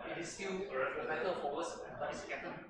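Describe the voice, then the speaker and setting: A man's voice: on the high side, fast. A man leading a casual tech meetup chat, hesitant with many fillers. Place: open office lounge, indoors. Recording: distant, echoey room, chatter behind.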